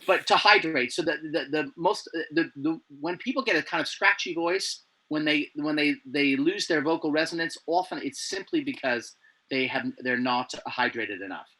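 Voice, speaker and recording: Guy on a video call, kinda high voice, playful and jokey, keeps restarting his sentences. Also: moderate pace, poor audio. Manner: animated, playful, lots of restarts. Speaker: guest, presenter coach. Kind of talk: remote interview chat.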